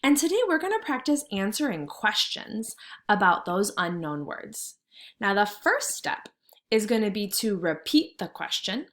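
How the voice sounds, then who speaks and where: A woman's voice: mid-range voice, sing-song, measured pace, a bit bright. A woman teaching kindergarten through a read-aloud, instructing and thinking aloud in simple words for young children.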